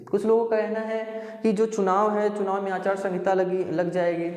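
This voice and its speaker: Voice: mid-range voice, little pitch movement, moderate pace, darkish tone. An exam coaching teacher from explains things informally to candidates, repeating points and often checking in with tag words. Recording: echoey, reverberant room.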